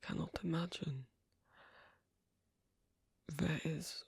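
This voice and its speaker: Performer as isolated swan king, foreign accent, hesitant, bookish, creaky, very breathy, close dry ASMR story delivery. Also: medium pitch, fairly even intonation, dry recording, full character voice. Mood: shy wonder. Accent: foreign-accented English. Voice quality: breathy, half-whispered, creaky. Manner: halting, hesitant, clipped non-native phrasing. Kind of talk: intimate ASMR roleplay storytelling.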